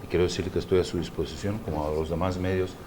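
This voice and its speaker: Brazilian-accented man, low frank voice. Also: steady tone, mellow, slight background noise. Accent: Brazilian Portuguese. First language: Portuguese. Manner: frank and outspoken, measured second-language Spanish. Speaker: ambassador, interview guest.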